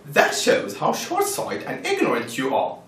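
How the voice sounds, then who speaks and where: Man acting a brash atheist debater, very swoopy pitch. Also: wildly swooping pitch, measured pace, a bit bright, far from the mic, heavily acted. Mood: scornful. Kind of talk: dramatized scripted debate. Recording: roomy echo, off-mic.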